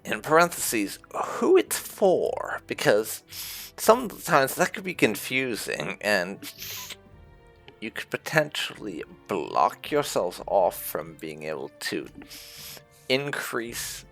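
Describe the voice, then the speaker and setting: A woman's voice: extremely low pitch, very animated, takes it slowly, vocal fry. A woman giving casual commentary as she plays a video game, often musing aloud to herself. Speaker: gaming video host. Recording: music behind the voice. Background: game music.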